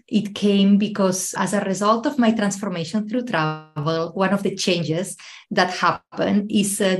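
A woman speaks as a podcast guest at an ordinary pitch. She tells her story in a chatty, open way and laughs easily between phrases. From Spain. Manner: warm storytelling, laughs often. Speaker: guest, travel coach. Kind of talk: podcast interview.

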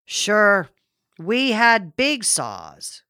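Woman voicing a folksy, boastful old farmer, drawn-out. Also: medium pitch, huge pitch swings, sharp tone, clear, resonant tone, dry recording, clean studio sound, exaggerated voice. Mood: defensive, boastful. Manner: folksy rustic dialect, emphatic drawn-out boasting. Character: boastful, excitable old rural farmer reminiscing about logging.

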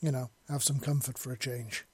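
A man plays a defiant human man in a story. He is proud and blunt, with a husky edge and a close, dry sound. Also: mid-range voice, flat delivery, fairly quick, mellow, no echo, close to an everyday voice. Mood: casual. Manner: blunt, sweary.

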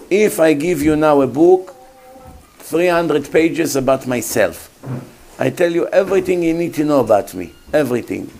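A man's voice: fairly high pitch, gravelly, darkish tone. Emphatic man preaching. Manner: emphatic, rhetorical questions to the audience. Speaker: rabbi giving a talk. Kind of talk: sermon.

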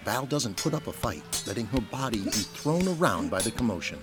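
A man's voice: medium pitch, big pitch swings, normal speed, over loud music. A man reading scripted narration for an audio drama, with noise behind him. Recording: clear background noise.

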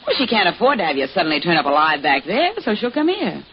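Scheming radio-drama mistress, swooping intonation. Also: female voice, on the low side, very animated, fast talker. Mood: coaxing, calculating. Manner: insistent, domineering, coolly manipulative. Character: scheming, hard-boiled mistress pressuring her lover into crime. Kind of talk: old-time radio crime drama acting. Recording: dull, noisy vintage radio recording.

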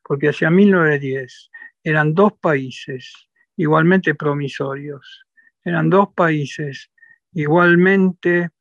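An Argentine man with an Argentine Spanish accent, answering interview questions in a measured, emphatic way and repeating phrases as he thinks. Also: fairly high pitch, fairly bright tone, no room sound. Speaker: interview guest. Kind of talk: reflective interview talk. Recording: dull lo-fi dry sound.

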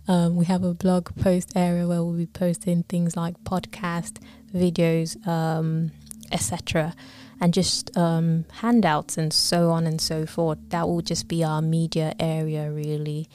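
Woman from Nigeria, solo podcast host, slow and halting, mellow low voice, drifts into whispers. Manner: hesitant, many pauses and fillers.

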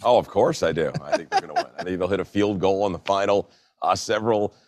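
An American male sports broadcaster with an exceptionally low, deep voice. He gives conversational analysis as a guest, recorded dry with hardly any room sound. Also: quick pace, a little mellow, no echo. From New Jersey, United States.

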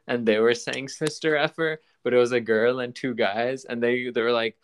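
A man's voice: mid-range voice, steady tone, moderate pace, slight background noise. A man hosting a podcast in casual chat, rambling and often restarting his sentences. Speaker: podcast host.

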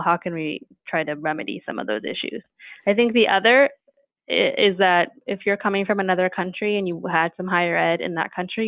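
A woman with a gravelly, creaky edge to her voice talks through the issues in an explanatory podcast chat. She keeps checking in with "right?" as she goes. The sound is thin and phone-like, with a dry, close feel. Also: on the low side, no echo. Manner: thoughtful, explanatory, many "right?" tags. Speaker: guest expert on teacher preparation. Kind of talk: podcast conversation.

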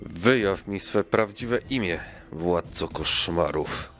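A man playing a brave, kindly king and devoted father in a children's audio drama, earnest and measured. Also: low-pitched, a bit bright, faint music behind. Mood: pleading. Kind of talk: children's radio play acting.

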